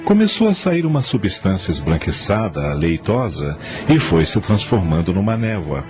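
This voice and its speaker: A male narrator tells a radio drama in a deep voice. His scripted, dramatic delivery plays over clear background music. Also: very animated, moderate pace.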